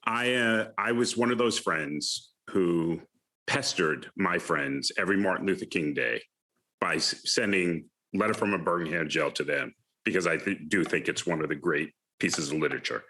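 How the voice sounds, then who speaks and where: An American man in his fifties from the Bronx gives reflective interview answers, often halting as he searches for his words. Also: sits low, sharp tone. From the Bronx, New York City, United States. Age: 50s. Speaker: alumni guest, retired finance professional.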